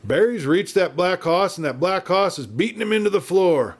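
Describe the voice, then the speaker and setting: A man acts out a gaunt cowboy henchman in a Western audiobook. The voice sits high and pushes loud, frantic and desperate. The speech is rough, rustic frontier dialect, wild and fervent. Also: lively intonation, moderate pace. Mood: excited screaming.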